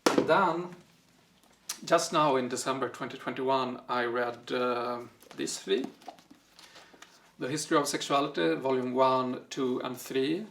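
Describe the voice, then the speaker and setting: A man whose first language is Swedish, talking casually through the books he read, with frequent pauses and fillers. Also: mid-range voice, fairly bright tone, slight background noise. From Sweden. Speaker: book vlogger. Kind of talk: casual book review vlog.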